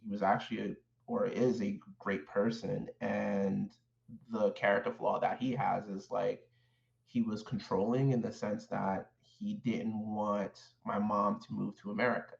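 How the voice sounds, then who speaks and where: A man born in Haiti, a guest in an interview, telling his life story in a measured, thoughtful way with frequent fillers and restarts. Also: fairly low pitch, level tone, creaky, reverberant, dull audio. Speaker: guest, clinical social worker. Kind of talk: reflective personal storytelling.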